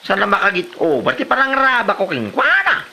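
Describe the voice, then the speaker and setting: A man's voice: very high voice, big pitch swings, fairly quick, bright, forceful tone, heavily acted. A man playing a cheeky village prankster in an Ilocano comedy drama, teasing and exclaiming with a northern Philippine accent. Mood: agitated. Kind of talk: Ilocano comedy radio-style drama acting.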